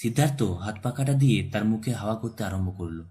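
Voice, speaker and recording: A man's voice: fairly low pitch, fast. A man with a Bengali accent reading scripted narration for a romantic audio story. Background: soft background music.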